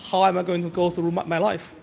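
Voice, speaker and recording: A young man with a high voice answers questions outdoors on a campus. He speaks in halting, earnest bursts, restarting his sentences often. He grew up abroad. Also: completely flat delivery, creaky, darkish tone. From outside Canada. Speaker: interviewee. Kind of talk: street interview on campus.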